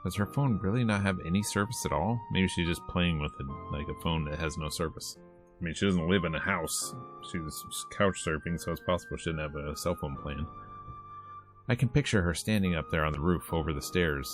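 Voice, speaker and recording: A man's voice: low voice, fairly quick. A man playing a game on stream, reading its text aloud with joking, casual commentary and acting out lines. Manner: joking, acts out lines as he reads. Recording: game music playing behind. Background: visual novel music and character voices.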